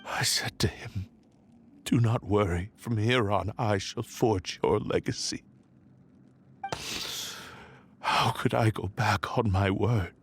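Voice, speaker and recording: An actor playing a proud, despairing sword spirit in a man's form in a video game, speaking gravely in formal, old-fashioned words. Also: fairly low pitch, slight background noise, close to an everyday voice. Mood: sorrowful, bitter. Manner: slow, grave, archaic formal speech.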